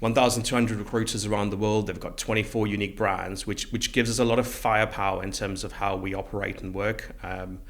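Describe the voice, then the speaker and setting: A man's voice: lowish voice, fairly even intonation, a little fast, few pauses, a bit bright. A middle-aged man from South Africa speaking conversationally as the guest in a podcast interview. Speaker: interview guest, recruitment business co-founder.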